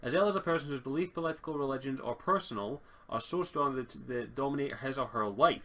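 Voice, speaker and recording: Halting man running a tabletop game. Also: medium pitch, sharp tone. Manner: halting, repeats words while thinking. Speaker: game master and streamer. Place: home online voice call stream.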